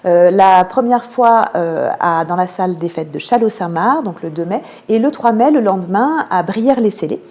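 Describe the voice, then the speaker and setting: A woman with a French accent from France giving measured, explanatory answers as a radio interview guest, pausing often to find her words. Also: medium pitch, lively intonation, warm tone. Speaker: theatre programme manager, interview guest.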